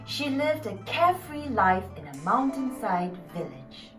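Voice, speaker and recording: Slow, highly animated woman storyteller. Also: distant mic. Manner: expressive, theatrical. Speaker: storyteller, narrator. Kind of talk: dramatised scripted narration. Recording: echoey room, off mic, music behind.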